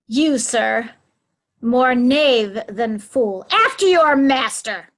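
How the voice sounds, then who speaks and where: Woman acting snooty scheming shakespeare noblewoman, bossy, high voice, takes her time. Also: lively intonation, drawn-out words, fairly bright tone, exaggerated voice. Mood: dismissive. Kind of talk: Shakespearean reader's theatre acting.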